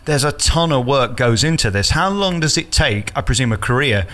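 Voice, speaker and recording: A man's voice: medium pitch, animated, normal speed, sharp tone. A man interviewing conversationally as a radio presenter, effusive and emphatic.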